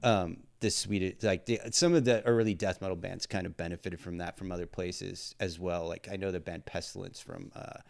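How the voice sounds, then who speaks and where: A man's voice: deep voice, steady tone, normal speed, gravelly, few pauses. A man hosting a podcast in casual chat, rambling with lots of fillers and the odd swear word. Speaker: podcast host.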